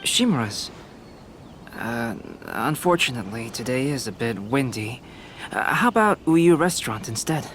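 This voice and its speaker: A man voicing a calm, perceptive young alchemist who talks in a measured, analytical way, in a game. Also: medium pitch, slight background noise. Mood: casual. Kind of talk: game voice acting. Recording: game cutscene with music underneath. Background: game music.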